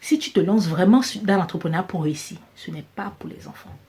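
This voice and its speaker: A woman's voice: fairly low pitch, a little fast, mellow. A woman with a Cameroonian French accent, giving an emphatic motivational business talk that repeats key phrases for stress. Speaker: business coach vlogger.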